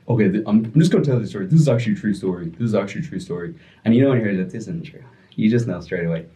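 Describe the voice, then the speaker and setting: A young man telling a story as a podcast guest, joking and rambling with quick asides. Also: low-pitched, dark tone, rough recording. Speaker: podcast guest, music producer and DJ. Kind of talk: casual storytelling chat.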